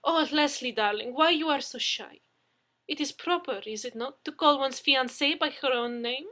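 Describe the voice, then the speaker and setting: A woman's voice: high voice, normal speed, bright, forceful tone, pitched above the performer's own voice. A woman voicing a coy, effusive young Russian woman who speaks broken English with a Russian accent in a story. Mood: coy, affectionate. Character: coy, theatrically pleading young foreign woman, Russian fiancée.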